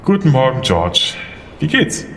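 Lively man as a young city lawyer. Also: mid-range voice, measured pace, slight background noise, rough recording. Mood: friendly. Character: polite, slightly flustered young male New York lawyer, sceptical. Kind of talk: audio drama acting, natural dialogue.

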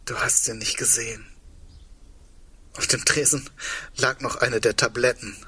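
An actor playing a shaken, grieving man at the end of the world in an intimate radio play, speaking in a halting, brooding way. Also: medium pitch, flat delivery, sharp tone, breathy edge, heavily acted. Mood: anguished.

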